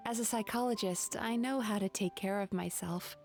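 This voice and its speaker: A woman's voice: mid-range voice, normal speed, a little mellow, lightly acted. Composed, perceptive young female therapist for a video game, played by an actress: soothing, polite, faint music underneath. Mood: gentle, reassuring. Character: gentle, perceptive young woman psychologist, caring and composed. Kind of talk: anime-style video game voice acting.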